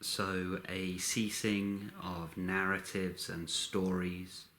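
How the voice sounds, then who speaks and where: A man leading a guided meditation in a measured, instructional way, speaking in short phrases with long pauses. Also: low voice, little pitch movement, fairly bright tone, a little hiss or hum.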